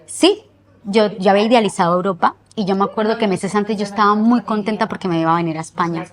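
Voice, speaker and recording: A young woman from Colombia with a Colombian accent softened by years in Spain, recalling her life earnestly in an interview and slowing down to stress words. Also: medium pitch. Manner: reflective, earnest, often slows down to stress words. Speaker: guest. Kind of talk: personal interview.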